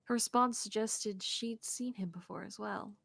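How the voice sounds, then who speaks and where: A woman reading visual novel narration aloud on a game stream in an expressive way, close to the mic. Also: medium pitch, moderate pace, vocal fry, husky. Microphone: close streaming mic, dry sound.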